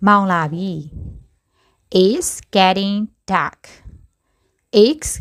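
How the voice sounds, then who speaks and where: Woman teacher going over short english phrases real slow, word by word, normal pitch. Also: expressive, clear, resonant tone. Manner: slow, deliberate, word-by-word repetition. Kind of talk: slow repeated phrase drills with translations.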